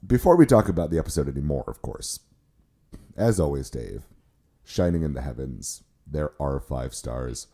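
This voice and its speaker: A hoarse man co-hosting a podcast, chatting casually with lots of restarts and poking fun at himself. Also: extremely low pitch, animated, normal speed, dark tone. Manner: rambling, self-mocking, many restarts. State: hoarse from illness, voice cracks. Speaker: podcast co-host. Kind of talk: casual chat.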